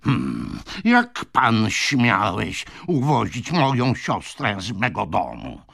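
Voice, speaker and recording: A furious old gentleman in a storybook reading, sputtering and breathless with outrage. The voice leaps wildly up from a creaky base, shouting and repeating itself, recorded very dry. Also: a little high, wildly swooping pitch, measured pace, dry recording, pitched above the performer's own voice, exaggerated voice.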